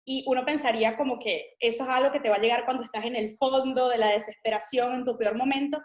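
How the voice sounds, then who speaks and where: A woman's voice: a little high, steady tone, quick pace. Earnest Venezuelan woman in an echoey room. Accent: Venezuelan Spanish. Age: 30s. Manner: earnest, reflective, with frequent fillers. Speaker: missionary guest. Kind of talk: interview, personal testimony.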